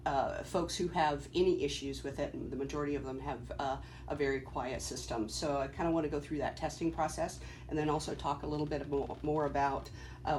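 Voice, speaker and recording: A person giving an informal, halting technical demonstration in an explanatory way. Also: medium pitch, darkish tone. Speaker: presenter demonstrating equipment. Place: small cluttered office workroom, indoors. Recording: faint background room noise.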